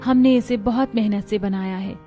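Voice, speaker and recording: A woman's voice: medium pitch, moderate pace, warm tone, natural voice. A woman voicing worried daughters-in-law in a cartoon family melodrama, earnest and plaintive. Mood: earnest, wistful. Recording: music bed behind the voice.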